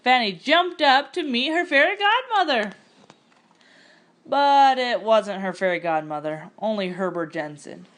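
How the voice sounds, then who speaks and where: A woman narrating a picture-book story aloud in an expressive, scripted storytelling style. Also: on the high side, unhurried.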